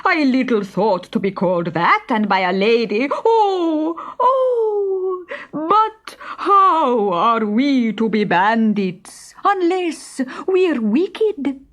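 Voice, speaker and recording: A woman voicing a boastful bandit man in a theatrical, drawn-out storybook style. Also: high-pitched, sing-song, a bit bright, voice pushed up, full character voice. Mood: wounded, aghast. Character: boastful Italian bandit man, pompous, theatrical, mock-fierce.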